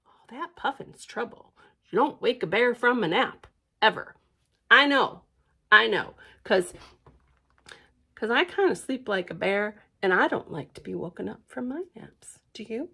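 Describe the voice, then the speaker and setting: A woman reading a picture book aloud for a library storytime, expressive, with questioning asides to young listeners. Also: on the high side, measured pace, gravelly, breathy edge. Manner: expressive, questioning, sing-song emphasis.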